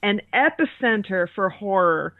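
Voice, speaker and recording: A woman's voice: mid-range voice, expressive, measured pace, dry recording, phone-quality sound. A woman co-hosting a podcast in casual chat, heard over a thin call line. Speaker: podcast co-host.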